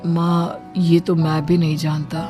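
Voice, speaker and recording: A woman's voice: low-pitched, level tone, unhurried, warm tone, soft background music, pitched below the performer's own voice. Woman voicing a troubled, dutiful young married son.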